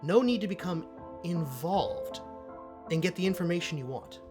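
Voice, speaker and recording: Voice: medium pitch, animated, lightly acted. An actor as a pompous, theatrical cult expert. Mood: businesslike, self-assured. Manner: pompous, dramatic, deliberate pauses. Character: self-important, theatrical cult expert and investigator. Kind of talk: audio drama dialogue. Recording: music playing under the voice.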